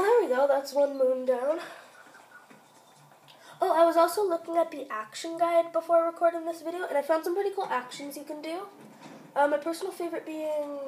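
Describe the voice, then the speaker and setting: A woman with a high voice narrating her game as she plays, excitable and quick to exclaim. She is sick, and her voice is wearing thin. Faint music sits underneath. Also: rough recording. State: sick, losing voice. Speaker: gameplay commentator. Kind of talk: let's play commentary.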